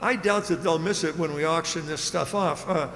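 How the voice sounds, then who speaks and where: A man acting a brisk, genial customs officer in an old-time radio drama. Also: on the high side, a bit bright, slight background noise. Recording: echoey reverberant hall recording.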